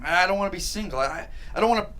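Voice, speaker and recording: A man's voice: high voice, very fast, noisy background, lightly acted. A man reading a despondent, whiny, freshly divorced brother in a sitcom table read. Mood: forlorn.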